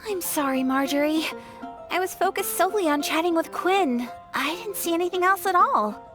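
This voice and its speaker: A helpful young townswoman in a video game, speaks in a high voice. She sounds polite, kind and apologetic. Clear music plays behind her. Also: animated, moderate pace.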